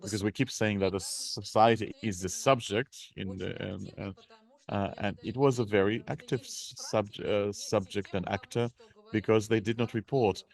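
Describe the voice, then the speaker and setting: A man interpreting live at a seminar, speaking haltingly in pieced-together phrases with repeats. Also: fairly low pitch, steady tone, vocal fry, darkish tone.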